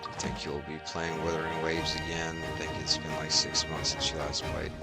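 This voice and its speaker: A man's voice: rumbling low, flat delivery, moderate pace. A man who streams games, chatting casually in short muttered fragments as he plays. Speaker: variety game streamer. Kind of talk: casual livestream gaming chat. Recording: game music behind the voice. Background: video game music.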